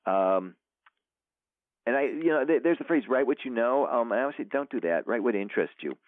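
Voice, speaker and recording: A man's voice: mid-range voice, animated, fairly quick, no echo. Man rambling on a tinny phone line. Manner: rambling, many fillers and restarts. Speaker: author guest. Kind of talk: casual interview chat.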